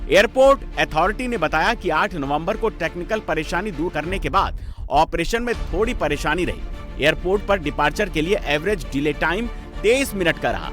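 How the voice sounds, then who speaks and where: A man's voice: a little high, expressive, moderate pace, fairly bright tone, faint music behind. A man reading scripted television news narration in a dramatic, emphatic way.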